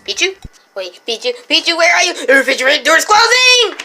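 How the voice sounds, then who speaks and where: A woman's voice: very high-pitched, very animated, brisk, bright, forceful tone, faint music behind, voice pushed up, exaggerated voice. A woman doing a bored little otter creature. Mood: worried. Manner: whiny, dramatic sighs, grows gloomy when trapped. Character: small male otter creature, bored, playful. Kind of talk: fan comic dub acting.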